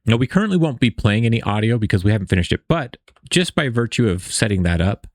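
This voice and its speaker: A man's voice: fairly low pitch, sing-song, quick pace, no echo, clean studio sound. A man hosting a casual screen-share coding tutorial, explaining step by step in an informal, conversational way. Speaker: tutorial host.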